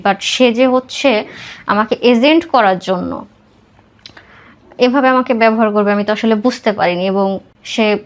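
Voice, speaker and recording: A Bangladeshi woman with a somewhat high voice speaks as a guest on a podcast. She recounts her personal story in interview style, with English words mixed through her speech.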